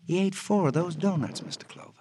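An actor playing a brooding, loyal American confidant, speaking in halting, reflective, theatrical phrases in radio crime drama style. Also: male voice, high voice, natural voice. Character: brooding, loyal male confidant, protective older friend. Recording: faintly noisy old radio recording.

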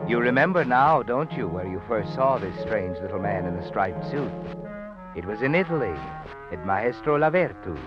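Man doing a creepy all-knowing radio narrator, low n very animated, insinuating, music behind. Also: normal speed, close to an everyday voice. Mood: urgent, ominous. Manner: dramatic, insinuating, second-person telling. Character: ominous, all-knowing male narrator addressing the hero. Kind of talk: old-time radio suspense narration. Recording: dull old radio recording, musical backing.

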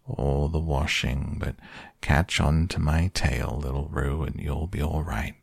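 A man voicing a gloomy, slow-grumbling old donkey in a children's storybook. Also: rumbling low, steady tone, gravelly, slightly rough, dry recording, studio quality, exaggerated voice.

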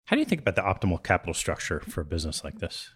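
Male interviewer, low-pitched voice. Conversational, analytical, probing questions for a business show. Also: huge pitch swings, rapid-fire, a bit bright, slightly rough. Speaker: interviewer, host.

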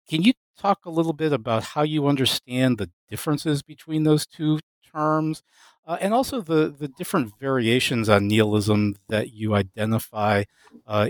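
A male podcast host with a mid-range voice and a dry, close sound, reading formally and asking careful questions. He keeps an even, measured delivery. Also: medium pitch, no room sound. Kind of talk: scripted introduction and interview questions.

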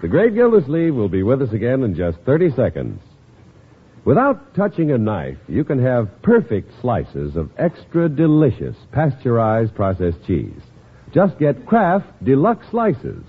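A man's voice: medium pitch, wildly swooping pitch, unhurried, slightly rough. A man announcing and reading ads in polished, salesman-like 1950s radio style. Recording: tinny old radio recording with music.

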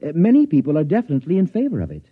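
An actor playing an unflappable, absent-minded scientist with a husky, musing delivery in a 1950s radio drama. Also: male voice, a little high, wildly swooping pitch, rapid-fire, dry recording, full character voice. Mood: vague, musing. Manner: mild, hesitant, musing, professorial. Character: unflappable absent-minded male scientist, smugly detached doctor. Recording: dull, dry vintage radio recording.

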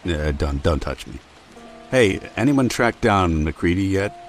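An actor playing a stiff, awkward android soldier in a radio drama, speaking tersely and hesitantly. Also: male voice, low voice, lively intonation, measured pace, soft background music. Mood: awkward, guarded. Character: stiff, awkward male synth soldier, gruff.

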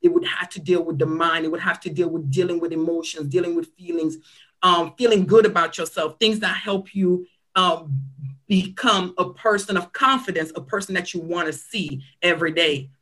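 A woman's voice: fairly low pitch, moderate pace, a bit bright. A woman from Cameroon in her thirties, a nurse practitioner giving interview answers in an earnest, reflective storytelling manner. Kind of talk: conversational interview.

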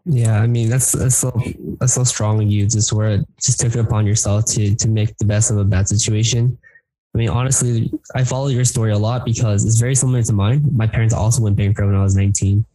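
A man with a mellow, warm voice hosts a podcast, asking eager, wordy questions. The sound is dry and close. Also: on the low side, fairly even intonation, fast talker, no echo, rough recording. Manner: eager, repeats words while asking questions. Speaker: podcast co-host. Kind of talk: casual interview talk.

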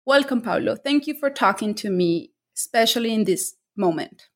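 A woman's voice: a little high, expressive, moderate pace. A woman hosting an interview podcast who reads a formal introduction and asks long, enthusiastic questions.

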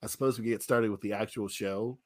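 A man's voice: on the low side, steady tone, a little fast. A man hosting a casual comedy podcast, joking and poking fun at himself in a playful way. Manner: joking, self-deprecating, playful. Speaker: podcast host.

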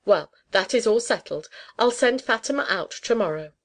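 Here is a bossy older society aunt from a storybook, as a woman narrator plays her. Her voice sits on the high side, and she hands down orders with brisk, imperious emphasis. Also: animated. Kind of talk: audiobook character dialogue.

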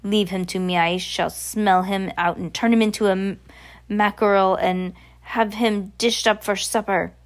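A woman's voice: mid-range voice, fairly even intonation, measured pace, close to an everyday voice. A woman voicing a vicious, imperious witch leader who gives orders in oddly phrased sentences, reading from a storybook. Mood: scheming, commanding. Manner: commanding, oddly phrased grammar. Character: imperious, scheming, vicious female Grand High Witch.

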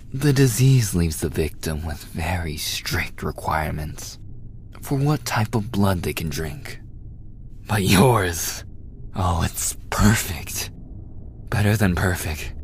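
Man acting a low, slow, obsessive vampire. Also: a little hiss or hum, full character voice. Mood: rapturous. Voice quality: breathy, often dropping to a whisper. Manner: slow, intimate, gloating. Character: obsessive, possessive male vampire, starved and hungry for blood. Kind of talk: boyfriend ASMR audio roleplay.

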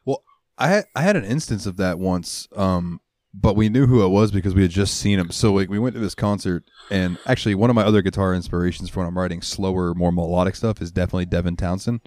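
Podcast co-host, a lower-voiced man, rambling storyteller who swears, dry close mic. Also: fast talker, a little mellow, no room sound. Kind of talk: casual chat. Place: US South, central Florida.

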